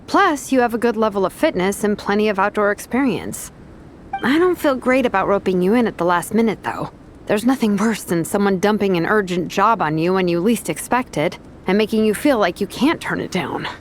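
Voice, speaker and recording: A woman voicing a practical, no-nonsense young team captain in a video game, briskly giving instructions. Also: medium pitch, lively intonation, a little hiss or hum, lightly acted. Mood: apologetic, earnest. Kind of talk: scripted game dialogue.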